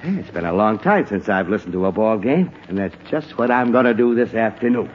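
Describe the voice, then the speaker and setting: An actor playing an excitable, easily flustered middle-aged family father who mutters and exclaims to himself in 1950s radio comedy style. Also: lowish voice, brisk, natural voice. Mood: eager. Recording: muffled, noisy old radio broadcast.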